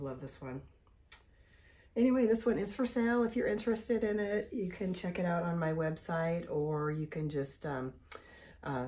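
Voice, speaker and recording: A woman with a slightly hoarse voice, giving a casual, halting painting tutorial as the host. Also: fairly low pitch, dark tone. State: slightly hoarse from being sick. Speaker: host of an art tutorial channel.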